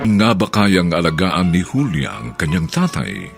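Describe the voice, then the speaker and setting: A man's voice: deep voice, wildly swooping pitch, a little slow, vocal fry. A Filipino man narrating as a radio drama announcer, formal and theatrical in an old-style scripted delivery. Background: music.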